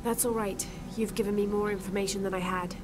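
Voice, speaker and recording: A woman playing a young, determined, thoughtful adventurer in naturalistic video game dialogue, speaking in a measured, inquisitive way. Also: fairly even intonation, over music, lightly acted. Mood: gentle, inquiring. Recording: game ambience and rumble behind voice.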